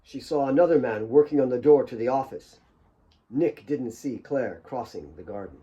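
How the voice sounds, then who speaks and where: A man's voice: mid-range voice, moderate pace, mellow, highs cut off. A man reading his own fiction as a dramatic audiobook narrator.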